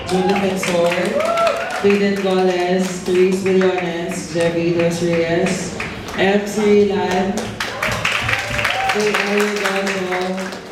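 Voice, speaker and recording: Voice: mid-range voice, little pitch movement, slow, over a noisy crowd. An announcer formally presenting people from the stage in a large hall with an audience. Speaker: announcer introducing cast and crew. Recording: echoey noisy hall, distant mic, audience murmur.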